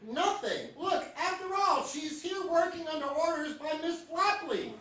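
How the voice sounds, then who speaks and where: A woman's voice: extremely high pitch, unhurried, a bit bright. A woman playing an easygoing radio station manager who shrugs off trouble, in a live stage comedy. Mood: breezy. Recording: very echoey theatre, distant mic.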